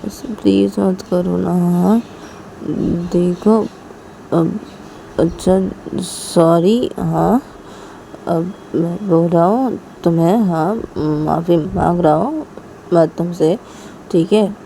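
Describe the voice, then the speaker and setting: A woman voicing a clingy young lover who keeps begging and apologizing in a drawn-out way. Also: lowish voice, creaky, dark tone, a little hiss or hum, poor audio. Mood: pleading.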